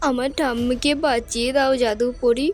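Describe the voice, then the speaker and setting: A woman's voice: on the high side, steady tone, normal speed, lightly acted. A woman voicing a brave, protective young elder sister in a fairy-tale cartoon, speaking in an earnest, imploring manner. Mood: pleading.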